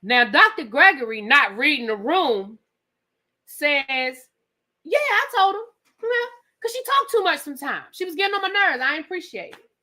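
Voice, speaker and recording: Animated woman ranting about a TV episode. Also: high-pitched, moderate pace. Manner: animated storytelling, acts out quotes; profane, conversational. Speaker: TV show reviewer. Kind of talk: casual live recap rant.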